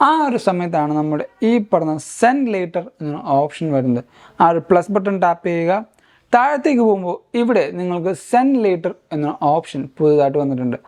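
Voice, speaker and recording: A man's voice: high-pitched, lively intonation, fairly quick, darkish tone. A man presents a gadget walkthrough as a tech reviewer. He explains each feature in an instructional manner, moving through it one step at a time.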